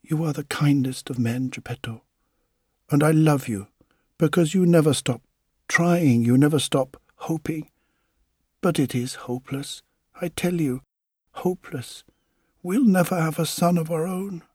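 Man voicing a sighing, high-pitched, sorrowful wife. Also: measured pace, long pauses, a little mellow, slightly rough, dry recording, clean studio sound. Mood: tender, hopeless. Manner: sighing, mournful. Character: sad, weary, loving Italian woodcarver's wife.

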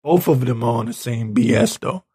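A man with a raspy, hoarse voice giving an informal opinion rant as a commentator, halting, blunt and exasperated. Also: mid-range voice, expressive, dark tone. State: hoarse, clearing his throat. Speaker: political commentator reading tweets and articles.

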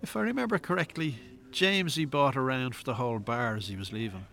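A man's voice: medium pitch, fast talker, creaky, fairly bright tone. A man playing a callous Irish pub owner in a radio drama, dismissive and defiant. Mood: smug, defensive.

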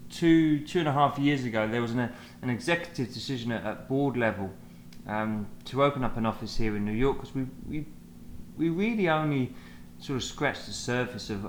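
A man with a British accent from England, talking as a podcast guest in a halting way, with many fillers and restarts. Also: medium pitch. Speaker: guest, sales manager. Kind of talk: conversational podcast interview. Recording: echoey room, faint noise.

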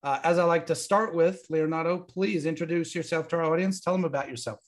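A man's voice: on the high side, fairly even intonation, brisk. A man hosting a podcast, upbeat and enthusiastic, introducing and questioning a guest. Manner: upbeat, enthusiastic, frequent ums. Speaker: podcast host. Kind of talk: casual interview hosting.

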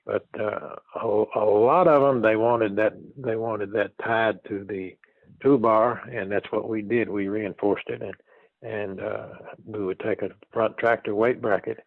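Low-voiced man in his eighties, folksy and halting. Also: steady tone, creaky, no room sound, narrow, tinny audio. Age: 80s. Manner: halting, folksy, repeats words and phrases. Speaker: interviewee, retired farm machinery dealer. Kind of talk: reminiscing in casual interview.

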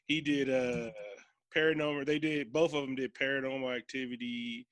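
A man's voice: mid-range voice, fairly even intonation, moderate pace, a bit bright, no room sound. A man co-hosting a podcast, chatting casually about a movie in a loose, joking way and swearing freely. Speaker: podcast co-host. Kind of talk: casual movie review chat.

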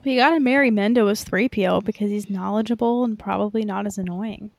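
A woman co-hosting a podcast in relaxed, informal conversation. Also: medium pitch, fast talker, creaky, no echo. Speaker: podcast co-host. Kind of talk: casual chat.

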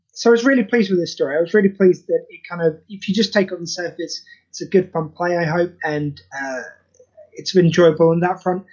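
A man talking as a guest on a podcast about the charity audio play he wrote, candid, self-deprecating and quick to swear. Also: high voice, darkish tone, lo-fi sound. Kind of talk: casual podcast interview.

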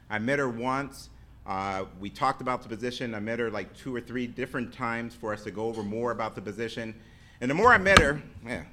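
A man giving an earnest speech to introduce a guest in a hotel banquet room, halting often and restarting phrases. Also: mid-range voice, sharp tone, slight background noise. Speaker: introducer, county staff member.

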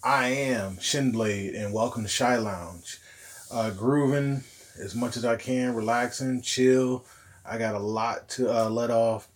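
A man with a hoarse, recovering voice hosting a casual solo podcast, rambling along in a laid-back way. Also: mid-range voice, slow. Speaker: podcast host.

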